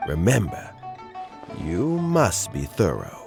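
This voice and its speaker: An actor playing an elderly, kindly mentor official in a video game, speaking in a gentle, reflective and deliberate way. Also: male voice, deep voice, wildly swooping pitch, gravelly, over music, heavily acted. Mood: earnest, solemn. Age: older. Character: elderly kindly mentor official nearing retirement, fond of fishing. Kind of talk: video game dialogue acting.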